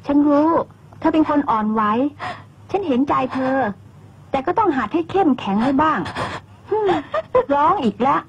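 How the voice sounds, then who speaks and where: A blunt, outspoken friend. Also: female voice, sits high, expressive, moderate pace, pauses often, dull audio. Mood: scolding. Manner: sharp, scolding and insistent.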